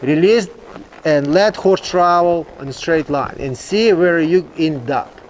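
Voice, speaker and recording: Guy w teaching horse riding, bit high voice, halting english, some noise behind him. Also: poor audio. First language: not English. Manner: emphatic, repetitive phrasing, halting non-native English. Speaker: horse trainer, instructor. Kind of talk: instructional demonstration, explaining.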